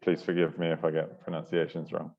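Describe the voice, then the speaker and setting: A man's voice: rumbling low, monotone, a little fast, vocal fry. A native English-speaking man moderating an online workshop, hesitant and apologetic with many fillers. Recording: dull lo-fi call audio.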